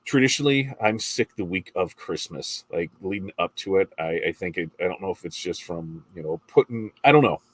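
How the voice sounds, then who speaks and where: A congested middle-aged man with a low, deep voice chats casually through a morning stream, rambling between viewer shout-outs and questions. His tone is husky, and the sound is dry and close. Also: expressive, fairly quick, few pauses, no room sound, low-quality recording. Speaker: livestream host reading viewer comments. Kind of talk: casual morning livestream chat.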